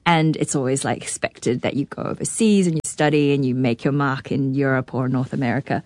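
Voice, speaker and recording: A woman's voice: extremely low pitch, brisk, darkish tone, dry recording. Earnest New Zealand woman, dry sound. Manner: earnest, searching, many rhetorical questions. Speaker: guest, orchestra conductor. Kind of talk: reflective interview conversation.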